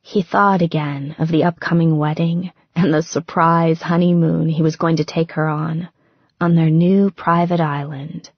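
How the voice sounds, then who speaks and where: A woman's voice: sits low, little pitch movement, unhurried, husky, no echo, muffled recording. A woman narrating romance fiction as a scripted audiobook.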